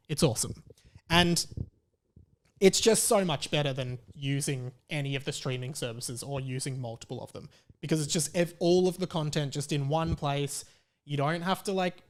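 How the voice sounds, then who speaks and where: A man with an Australian accent and a fairly high voice talks casually as a solo podcast host. His delivery is conversational and loose, wandering as he goes. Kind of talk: casual solo talk show.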